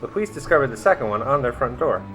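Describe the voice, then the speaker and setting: A man plays a young masked sidekick in a radio drama. He is wry and inquisitive, with animated, swinging pitch and faint music behind him. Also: fast, natural voice. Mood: puzzled.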